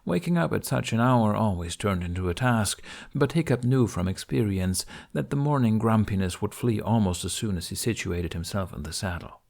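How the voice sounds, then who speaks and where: Low-pitched man narrating an audiobook. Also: lively intonation, moderate pace, vocal fry, hardly any pauses, a little mellow. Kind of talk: scripted fiction narration.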